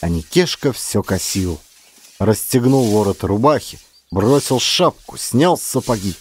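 A man narrating a literary audiobook as an expressive storyteller. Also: on the low side, measured pace, slight background noise.

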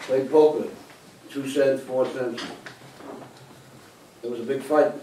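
An older man acting a stubborn, gruff 85-year-old grandfather, an immigrant former cabbie, in a live stage play. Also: mid-range voice, fairly even intonation, slow pace, dark tone, lightly acted. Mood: downcast, grumbling. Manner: gruff, defensive, matter-of-fact. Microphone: far camera in the audience. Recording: echoey small theater, distant camera, faint hiss.

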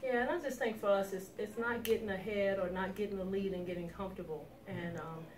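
A woman's voice: mid-range voice, fairly even intonation, brisk. A middle-aged woman head coach talking directly and confidently in a post-game interview, recorded in a small office. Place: small indoor office room with sofa. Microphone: far camera. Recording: roomy echo from a distant mic with crowd noise.

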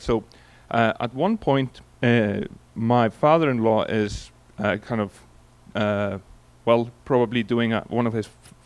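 A man in his forties from Iceland with an Icelandic accent, giving a relaxed conference keynote into a handheld mic, telling stories with many fillers and restarts. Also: mid-range voice. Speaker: keynote speaker. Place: large conference hall on stage. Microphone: handheld microphone.

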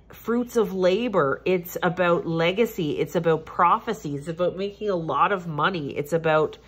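A woman with a low voice gives a tarot reading at her table at home. She sounds congested and a little nasal, and she speaks in a gentle, reassuring way that circles back over the same points. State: congested, stuffed-up nose. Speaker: tarot reader. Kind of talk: casual tarot reading monologue.

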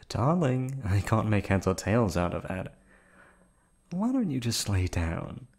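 Male actor doing a low, slow-talking yandere receptionist, silky courtesy over menace, close-mic whispers. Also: a little mellow, exaggerated voice. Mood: sinister. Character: obsessive male yandere hotel receptionist kidnapper. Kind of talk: ASMR roleplay audio drama.

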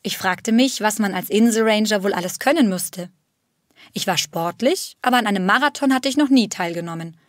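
A woman reads a first-person story in a steady, clear narrating voice. Her pitch sits mid-range, and the sound is dry and close-miked. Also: fairly quick, dry recording.

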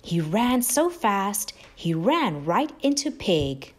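Female children's storyteller: expressive, very unhurried, lively intonation, music underneath. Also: mid-range voice. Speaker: storybook narrator, host of read-aloud channel. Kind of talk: expressive children's read-aloud.

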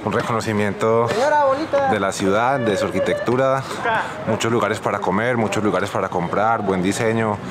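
Young man, travel vlog, camera at arm's length, slightly low voice, loud city noise behind. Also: steady tone, normal speed. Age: young adult. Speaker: travel vlogger. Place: city streets and a bus terminal, mostly outdoors. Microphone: camera held at arm's length.

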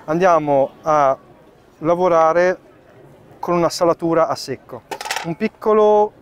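Chef explaining a dish outdoors. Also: male voice, a little high. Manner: explanatory, thinking aloud with fillers while working. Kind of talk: live cooking demonstration. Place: outdoor tent in a park. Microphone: far camera.

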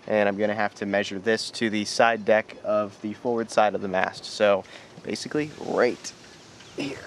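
A young man with a slightly low voice talks easily through each step of measuring his sail, recorded up close on a handheld camera. He is outdoors on a boat in a boatyard, with faint outdoor noise behind him. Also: fairly even intonation, moderate pace. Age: young adult. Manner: easygoing, explaining step by step. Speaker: sailing vlog co-host. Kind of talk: casual how-to vlog.

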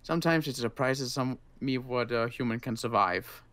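A man playing a tired, injured survivor in a zombie game roleplay, speaking in a halting, dry, curt way. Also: medium pitch, fairly bright tone, lightly acted. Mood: weary, grudging. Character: weary, grudging injured male survivor of a zombie apocalypse. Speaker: roleplay player.

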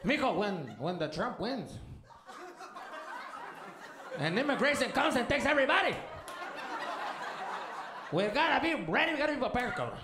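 A man doing an animated, panicky immigrant Mexican dad. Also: very high-pitched, normal speed, pauses often, noisy background, pitched above the performer's own voice. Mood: urgent alarm. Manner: urgent, alarmed, mixing in Spanish words. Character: anxious older Mexican immigrant dad, preparing for doomsday. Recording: echoey live club with loud audience.

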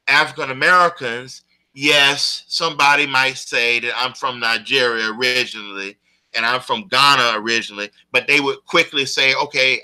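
A man's voice: medium pitch, pushed and bright, rough recording. A man giving spoken commentary, explaining in long, built-up points.

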